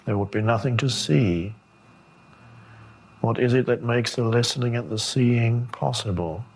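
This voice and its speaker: A man giving a meditation talk as a spiritual teacher, speaking contemplatively with long pauses and gentle questions. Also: medium pitch, a little hiss or hum.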